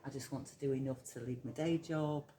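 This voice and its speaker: A woman's voice: rumbling low, steady tone, brisk. A middle-aged woman from Yorkshire with a Yorkshire accent, a hypnotherapist talking casually as an interview guest, reflective and full of ums. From Yorkshire, England. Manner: chatty, reflective, many ums and soft laughs.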